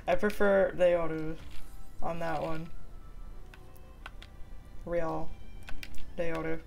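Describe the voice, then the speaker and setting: A woman streaming a game from home, chatting with viewers in a relaxed, giggly way. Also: low voice, drawn-out words, creaky, pauses often, faint music behind. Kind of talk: casual chat while gaming. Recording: faint keyboard typing behind.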